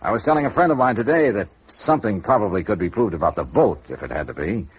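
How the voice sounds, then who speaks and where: An actor playing a wry, tough insurance investigator who narrates and questions people in a 1950s radio noir drama. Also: male voice, on the low side, lively intonation, fast, husky. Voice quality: dry, wry, easygoing. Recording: dull old radio broadcast.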